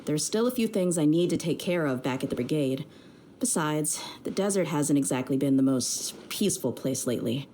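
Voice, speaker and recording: A woman's voice: low-pitched, animated, moderate pace, warm tone, a little hiss or hum. A woman playing an easygoing, loyal young female mercenary leader in a video game, speaking in a casual, frank, slangy way. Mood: apologetic, evasive.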